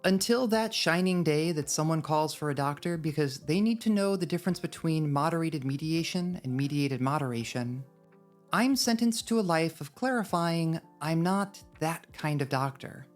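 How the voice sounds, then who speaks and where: A woman narrating a scripted video essay in a wry, drily humorous manner. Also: low voice. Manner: wry, dry humour.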